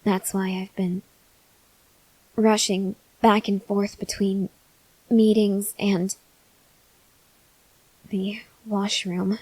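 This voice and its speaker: Woman voicing a female vampire, ASMR roleplay, faint with hunger, earnest, breathy half-whisper, subdued even pitch, very dry close sound. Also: dry recording, low-quality recording. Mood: weary, desperate. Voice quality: soft, breathy, often dropping to a whisper. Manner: slow, halting delivery with long pauses. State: weak, faint with hunger (acted). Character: starving female vampire researcher, weary, earnest, gently pleading. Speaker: voice actor. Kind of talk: ASMR roleplay, acted monologue to listener.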